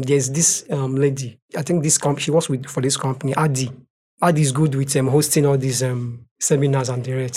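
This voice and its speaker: A man's voice: mid-range voice, darkish tone. A man from Nigeria with a Nigerian accent, a native speaker of Igbo and Nigerian Pidgin, answering interview questions as a translator and repeating points for emphasis. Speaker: translator, podcast guest.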